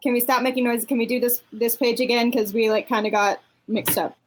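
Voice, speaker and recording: A woman's voice: fairly high pitch, steady tone, brisk, slight background noise. A woman chatting casually and interjecting to direct and correct friends during a live dub.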